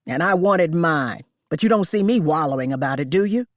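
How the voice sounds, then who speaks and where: A woman reading aloud, voicing a cruel wealthy female employer who yells and screeches at her maid. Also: lively intonation, brisk, dry recording, studio quality. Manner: shrill, shouting.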